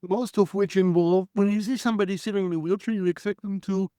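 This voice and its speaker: A man whose first language is Hebrew, telling his story in a reflective, articulate way with frequent restarts and throat clearing. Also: sits high, fairly even intonation, fast talker, warm tone, no room sound, studio quality. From Israel. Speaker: guest. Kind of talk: conversational storytelling.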